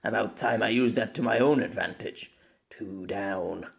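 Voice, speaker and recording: A man playing a brash swordsman nearly sixty, taunting police in a gruff voice. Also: fairly low pitch, measured pace. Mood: wry.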